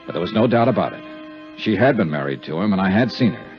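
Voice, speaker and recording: Guy acting tough dry radio detective man, old 50s show, muffled sound w music, pitch moves around a lot. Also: animated, fast, close to an everyday voice. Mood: matter-of-fact narration. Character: tough, dry, persistent male insurance investigator. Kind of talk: 1950s radio detective drama acting with first-person narration. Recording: dull 1950s radio recording, music behind.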